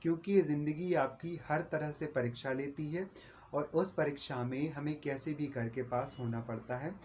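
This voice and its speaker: A man's voice: fairly high pitch, normal speed, a little mellow, a little hiss or hum. A Hindi-speaking man giving an earnest, repetitive book recommendation in a casual video review.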